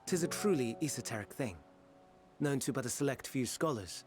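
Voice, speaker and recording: An actor playing a refined, self-assured fantasy game scholar who lectures gently in eloquent, archaic phrasing. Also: male voice, medium pitch, animated, a little fast, over music, natural voice. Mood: composed, explanatory. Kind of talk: theatrical fantasy video game acting.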